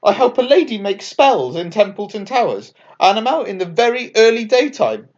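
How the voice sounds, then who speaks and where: A man plays a small storybook bat with a high, swooping voice. He is nervous and earnest, pleading a little as he talks. The sound is slightly dull. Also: sing-song, moderate pace. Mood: upset, pleading. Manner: hesitant, plaintive.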